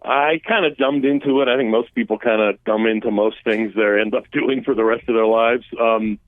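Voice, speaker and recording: A man's voice: medium pitch, moderate pace, no room sound. Man on a tinny phone line. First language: Armenian. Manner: conversational, emphatic, with restarts and lively rises. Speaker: immigration policy expert guest. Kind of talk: political talk radio interview. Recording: phone-line guest audio, dry.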